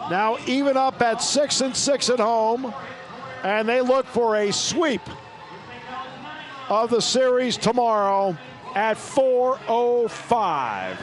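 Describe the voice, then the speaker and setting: High-voiced male radio play-by-play announcer with a brisk read over a music bed. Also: lively intonation. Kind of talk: radio sports broadcast.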